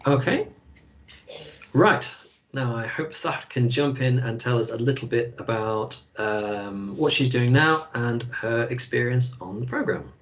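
A man hosting a university webinar, speaking in a relaxed way with a few fillers. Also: medium pitch, measured pace, recorded from a distance, rough recording. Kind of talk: webinar presentation. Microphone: video call.

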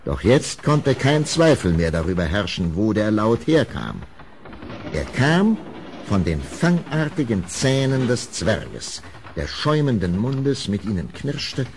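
A man's voice: fairly low pitch, a little mellow. A man narrating a gothic radio play as scripted storytelling, grave and theatrical in manner. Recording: old audio drama recording, background noise.

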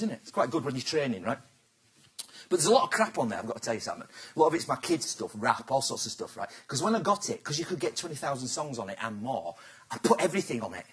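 A man giving a business talk to a live audience, chatty and teasing, throwing questions at the crowd. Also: medium pitch. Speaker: presenter.

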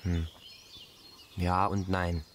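Hesitant low-voiced young man. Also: little pitch movement, a little hiss or hum. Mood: quietly reflective. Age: young adult. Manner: halting, tentative, puzzled questioning. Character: hesitant, questioning young man, naive and reflective. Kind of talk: naturalistic radio play acting.

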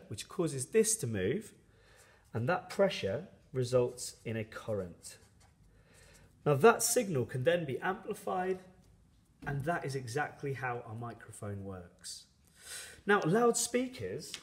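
Man teaching with very lively intonation. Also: on the high side. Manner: instructional, step-by-step. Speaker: science teacher. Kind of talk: explanatory tutorial narration.

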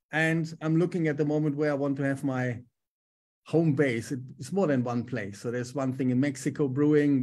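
A man's voice: a little high, darkish tone. A middle-aged man with a German accent, a business coach speaking on a video call from home in an upbeat, talkative way. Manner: upbeat, talkative, frequent ums. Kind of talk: casual interview on a video call. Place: home room with a lamp.

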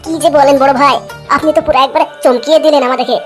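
A woman's voice: sits high, steady tone, racing, soft background music, natural voice. A woman voicing a feckless, eager young village man with a whiny, insistent manner in a comic cartoon. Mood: curious.

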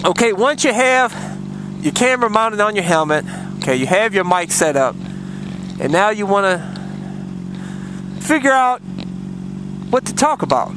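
A man's voice: high-pitched, sing-song, moderate pace, long pauses. A man vlogging from his motorcycle on country roads, talking bluntly and rambling with plenty of cussing, picked up by a helmet mic. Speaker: motovlogger. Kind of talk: casual riding commentary. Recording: loud wind and road noise from a helmet mic. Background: loud wind and engine noise.

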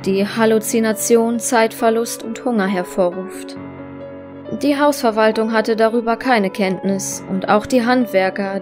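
Formal female detective voiced by an actress reading a case report, slightly high pitch, music underneath, audio drama. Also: close to an everyday voice. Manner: matter-of-fact, formal.

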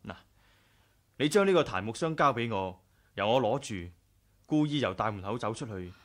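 An actor with a Hong Kong Cantonese accent playing a loyal, cautious aide, earnest and courteous, in a 1980s radio drama. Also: male voice, lowish voice, expressive, normal speed, close to an everyday voice. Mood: cautious, urgent. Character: loyal, cautious male aide, devoted to his leader.

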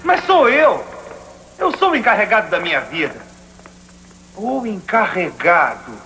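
Casting: defiant cartoon teen boy, very high, bright voice, defensive and emotional. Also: big pitch swings, unhurried, exaggerated voice, rough recording. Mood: unsure, defensive. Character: troubled, defiant teenage boy. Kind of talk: dubbed cartoon acting. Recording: old cartoon soundtrack with music.

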